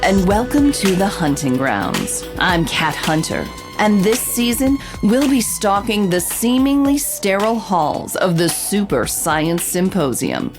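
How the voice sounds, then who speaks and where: An actress playing a confident investigative reporter hosting a true-crime podcast, with theatrical, knowing scripted delivery. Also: female voice, medium pitch, very animated, unhurried, hardly any pauses, music in the background. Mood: intriguing, knowing. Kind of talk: scripted dramatic podcast narration and interviewing.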